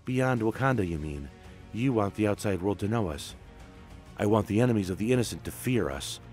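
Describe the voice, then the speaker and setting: A proud, impatient princess of a comic book kingdom, voiced by a man. The delivery is hushed and intense, dramatic, with faint music behind. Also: fairly low pitch, expressive, fairly quick, close to an everyday voice. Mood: defiant. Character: proud, impatient warrior princess, a young woman. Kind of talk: comic book story retelling.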